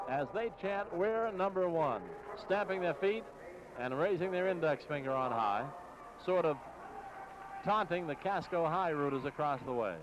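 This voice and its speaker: A man's voice: sits high, lo-fi sound, background noise. An American man calling live basketball play-by-play as a radio announcer in clipped, running phrases on a 1960s AM broadcast. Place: courtside in a large exposition hall.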